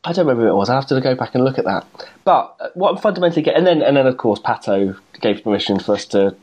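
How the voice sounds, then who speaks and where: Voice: medium pitch, lively intonation, very fast, darkish tone, breathy edge. A podcast host chatting casually, rambling and often breaking off mid-sentence. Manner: rambling, self-interrupting, laughs often. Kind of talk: casual chat.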